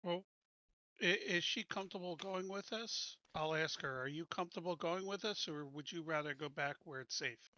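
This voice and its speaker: A man's voice: fairly high pitch, normal speed, bright, forceful tone, no room sound, muffled recording. A man talking casually as a player in a tabletop roleplaying game, thinking through plans with the group. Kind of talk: casual in-game discussion and planning.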